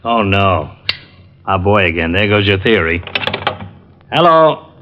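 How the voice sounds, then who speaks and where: Skeptical police lieutenant, muffled sound. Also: male voice, low-pitched, very animated, takes it slowly, background noise, full character voice. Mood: weary, tense. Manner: skeptical, businesslike. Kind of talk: 1940s-50s radio detective acting. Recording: muffled old radio recording.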